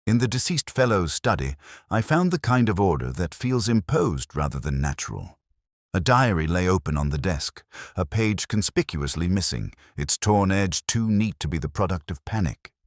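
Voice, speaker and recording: Male narrator for literary detective fiction: extremely low pitch, lively intonation, measured and reflective delivery, close dry sound. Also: no echo. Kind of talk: scripted literary mystery narration in first person.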